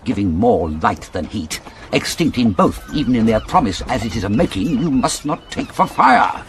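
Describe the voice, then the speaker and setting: A man's voice: fairly low pitch, a little hiss or hum. An actor with a British accent playing a pompous, fussy older father and counsellor, lecturing in classical Shakespearean style. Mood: stern, warning. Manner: declamatory, sententious, lecturing.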